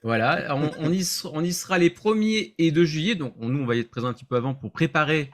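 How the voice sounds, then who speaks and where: A man's voice: fairly high pitch, expressive, quick pace. A man chatting casually as a show regular, explaining things in a loose, self-correcting way. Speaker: show regular announcing upcoming appearances. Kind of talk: casual chat.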